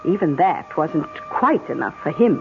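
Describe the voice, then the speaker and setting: A woman's voice: deep voice, expressive, normal speed. Over a tinny radio line with faint music comes a genteel British lady in an old-style radio play. Her delivery swings freely, wry and breezy, full of well-bred understatement. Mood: wry, gossipy. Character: worldly upper-class British older lady, wry storyteller. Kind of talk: radio drama acting.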